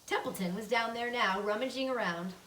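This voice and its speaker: Female storybook narrator, higher-pitched voice, read-aloud delivery, roomy recording. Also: brisk, a bit bright. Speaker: storybook reader. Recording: roomy echo, slightly distant mic.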